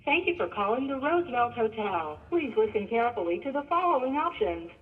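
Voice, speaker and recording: A woman's voice: a little high, very animated, fairly quick. A woman reading recorded hotel phone menu options as a scripted announcement. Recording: noisy, tinny phone line.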